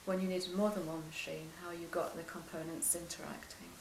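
An older woman with a quiet voice, recalling her career as a lecturer in an interview, soft-spoken and hesitant with many restarts. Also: on the low side, level tone, fairly quick, roomy sound, noisy background. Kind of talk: oral history interview.

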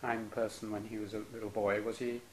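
A male interviewer asking questions politely and hesitantly, with many ums and soft acknowledgements. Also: on the low side, little pitch movement, brisk, a little hiss or hum. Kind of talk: oral history interview.

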